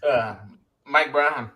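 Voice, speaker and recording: Animated man with a bright, lively voice. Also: fairly high pitch. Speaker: guest. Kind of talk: casual podcast chat.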